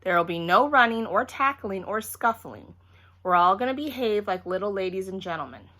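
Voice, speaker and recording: A woman's voice: medium pitch, animated, moderate pace, bright, forceful tone. A woman voicing a prim, fussy, genteel mother in a children's storybook. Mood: shocked, prim. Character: prim, polite, proper mother at an egg hunt.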